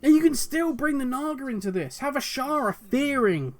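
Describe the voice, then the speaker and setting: A man hosting his own video, talking passionately and at length about game lore, his voice going hoarse. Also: very high-pitched, animated, normal speed. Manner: passionate, rambling, musing aloud. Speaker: video host. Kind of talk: solo commentary on game lore.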